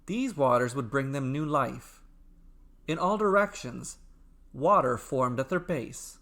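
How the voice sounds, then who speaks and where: A man tells a scripted myth in a dramatic storytelling style. His phrasing is slow, measured and deliberate, and his pitch is lively and varied.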